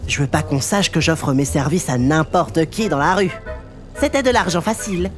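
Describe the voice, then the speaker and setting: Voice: medium pitch, normal speed, music in the background, natural voice. A performer voicing a sassy, foul-mouthed, flamboyant demon hustler in a cartoon fan dub, with theatrical delivery. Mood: guarded.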